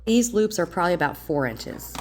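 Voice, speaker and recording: A middle-aged woman, slightly congested, explaining a craft project step by step in a calm, plain, practical way. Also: lowish voice, brisk, slight background noise. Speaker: craft tutorial host.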